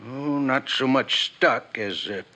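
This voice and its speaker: Weary, hesitant old private eye. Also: male voice, medium pitch, takes it slowly, bright, forceful tone. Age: 60s. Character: weary, aging small-time private detective, resigned and grateful. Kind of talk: 1950s radio drama acting.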